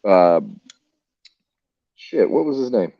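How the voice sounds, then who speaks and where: A man's voice: fairly low pitch, big pitch swings, slow, no room sound, highs cut off. A man hosting a podcast in casual chat, loose and profane with lots of filler words. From United States. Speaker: podcast host.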